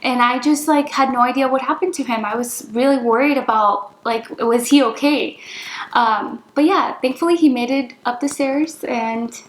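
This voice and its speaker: Woman, high voice, casual storytelling, vlog co-host, echoey room. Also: normal speed. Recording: echoey room with faint music.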